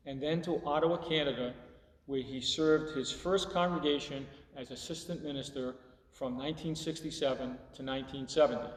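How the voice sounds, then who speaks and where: A man reading a scripted tribute to a congregation in a measured way, pausing between phrases. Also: fairly high pitch. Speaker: church service reader. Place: large church hall. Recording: echoey hall, distant microphone, long reverb.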